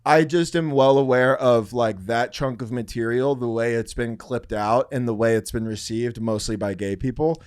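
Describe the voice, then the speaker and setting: A man's voice: mid-range voice, moderate pace, few pauses, darkish tone, clear, resonant tone, dry recording, studio quality. A man with an American accent and a somewhat effeminate way of talking, chatting casually as a comedian guest on a podcast. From Oklahoma City, United States. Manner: self-described effeminate way of talking.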